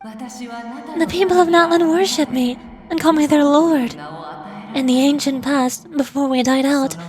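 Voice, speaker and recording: A woman playing a solemn ancient angelic spirit in a video game, speaking formally and with measured care. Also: high-pitched, a little mellow, soft background music. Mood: solemn, explanatory. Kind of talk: scripted game dialogue.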